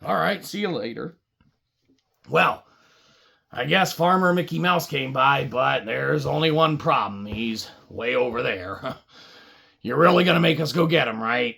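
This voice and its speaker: A woman storyteller with a gravelly, raspy voice improvises a puppet show for kids. She takes her time, rambling into wry asides, and her pitch moves around a lot. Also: animated, unhurried, a bit bright. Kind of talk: improvised comic children's story narration.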